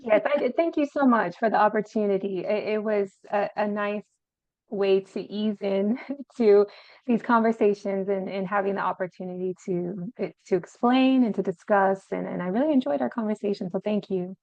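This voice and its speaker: Woman guest author, gravelly voice, thoughtful and hesitant, dry and dull audio. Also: mid-range voice, moderate pace, darkish tone, no echo. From Arizona, USA. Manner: thoughtful, hesitant, many fillers. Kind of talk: casual interview chat.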